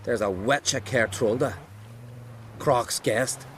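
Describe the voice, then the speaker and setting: A man's voice: medium pitch, slow, a bit bright, slight background noise. A man playing a blunt, scornful island warrior in a video game, speaking in a folksy dialect. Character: brash, scornful male island clansman warrior. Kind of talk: video game dialogue acting.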